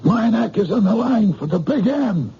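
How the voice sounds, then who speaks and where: An actor playing a menacing gangster boss in a 1950s radio drama, with tough slangy gangster talk. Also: male voice, sits high, animated, moderate pace, vocal fry, dark tone, husky, full character voice. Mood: threatening. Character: tough male mob boss, menacing and demanding. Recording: dull lo-fi old radio broadcast.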